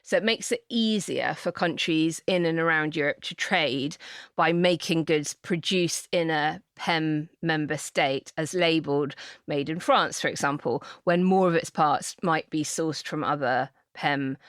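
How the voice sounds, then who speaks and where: A woman with a low voice takes part in an informal political discussion as a policy commentator. She explains her points frankly, and the sound is dry, with very little room around it. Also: measured pace, a bit bright, dry recording.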